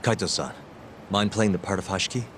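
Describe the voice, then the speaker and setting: A man playing a composed, sharp young private detective, speaking in a measured, probing and dry way in a game cutscene. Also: deep voice, slight background noise. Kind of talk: video game cutscene acting.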